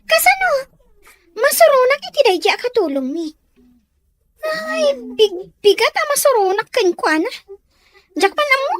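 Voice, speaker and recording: A woman's voice: very high-pitched, a bit bright, dry recording, heavily acted. A woman playing a spoiled, resentful schoolgirl in an audio drama, petulant and snappish. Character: spoiled, haughty, resentful schoolgirl daughter Dorina. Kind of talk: Ilocano audio drama acting.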